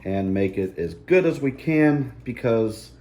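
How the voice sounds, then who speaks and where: A man hosting a casual hands-on DIY vlog, thinking aloud in a halting, self-deprecating, crude way. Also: mid-range voice, animated, a little mellow. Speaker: host of a DIY truck modification vlog. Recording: clear background noise and ambience.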